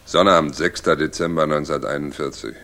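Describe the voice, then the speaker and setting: A man's voice: extremely low pitch, fairly even intonation, quick pace, creaky, fairly bright tone, exaggerated voice. A man acting a loyal, plain-spoken orderly in a 1960s German radio drama, deferential yet folksy. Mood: dutiful, concerned.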